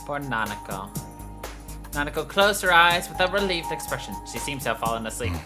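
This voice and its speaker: A woman's voice: deep voice, big pitch swings, a little fast, over music. A woman game streamer casually commenting and reading out on-screen narration.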